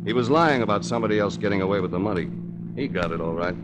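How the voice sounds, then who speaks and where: A man with an American accent playing a suave, wry insurance investigator who narrates his case in measured 1940s radio drama style. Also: fairly low pitch, lively intonation, background noise, natural voice. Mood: grave, thoughtful.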